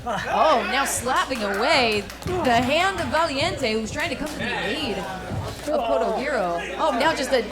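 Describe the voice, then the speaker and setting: A woman's voice: high voice, animated, unhurried, loud music behind the voice. A woman doing color commentary on a wrestling match, playful, often laughing and exclaiming. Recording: loud crowd behind.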